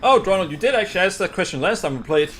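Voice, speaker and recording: Halting male game streamer, slightly high voice. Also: expressive, a bit bright, slight background noise. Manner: halting, thinking aloud. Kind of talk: casual game commentary. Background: game music.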